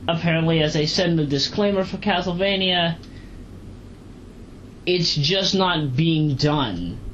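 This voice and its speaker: An androgynous, mid-pitched voice rambles casually through an amateur review, repeating phrases and correcting itself as it goes. The recording is muffled home audio, with a faint fan noise behind the speech. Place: at home, moving between kitchen and bedroom. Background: fan running.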